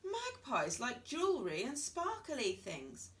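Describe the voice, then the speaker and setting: A woman's voice: sits high, wildly swooping pitch, a little slow, fairly bright tone, distant mic, voice pushed up, exaggerated voice. A woman voicing an anxious, easily upset mother hen in a children's story, exclaiming dramatically and fretfully.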